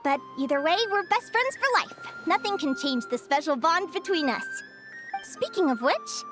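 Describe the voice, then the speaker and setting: Casting: sweet young creature scholar in an animated game, very high girlish voice, expressive, earnest, music behind. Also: normal speed. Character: sweet, bright-eyed young female animal researcher, earnest. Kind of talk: animated video game voice acting. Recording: music under the voice.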